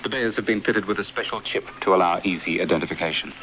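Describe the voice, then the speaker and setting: Deep-voiced male news reporter. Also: fast talker. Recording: faintly noisy radio broadcast.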